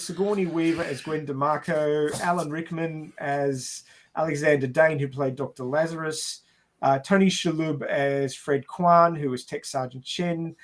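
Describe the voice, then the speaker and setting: A man hosting a podcast, recapping and casually discussing a film. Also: a little high. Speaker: podcast host.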